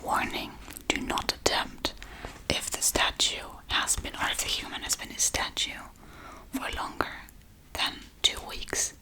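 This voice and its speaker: A woman playing a forgetful, darkly playful witch in a soft-spoken, whispery ASMR role-play, murmuring gently and absent-mindedly. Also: a little slow, lightly acted, low-quality recording. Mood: reading, muttering. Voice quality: breathy, whispery.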